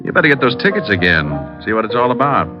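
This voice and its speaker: A man's voice: medium pitch, sing-song, quick pace, lightly acted. Man playing a sardonic radio private eye, dull vintage sound. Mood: breezy teasing. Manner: sardonic, dry, needling. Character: wisecracking cool male private detective. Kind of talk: 1940s radio acting. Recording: dull vintage radio recording, music behind.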